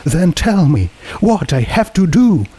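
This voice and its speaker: A man's voice: fairly high pitch, sing-song, a little slow, warm tone, breathy edge, voice pushed up, heavily acted. A man voicing a desperate, tearful teenage girl who pleads emotionally in a dramatic story reading. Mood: desperate pleading.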